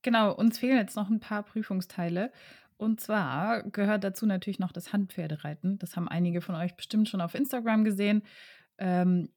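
A woman co-hosting a casual podcast chat, relaxed and giggly as she tells stories. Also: medium pitch, brisk. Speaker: podcast co-host.

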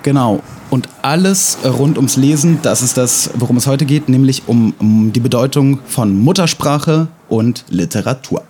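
Mann mit leichtem russischem Akzent. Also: male voice, medium pitch, warm tone, a little hiss or hum. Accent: light Russian. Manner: halting, many fillers, dry self-irony. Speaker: podcast co-host. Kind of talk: casual podcast chat.

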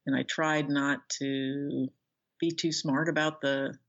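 A middle-aged American woman being interviewed as an author, speaking thoughtfully and haltingly as she searches for words. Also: sits low, flat delivery, dull audio. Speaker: interview guest, author and professor. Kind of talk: reflective interview conversation.

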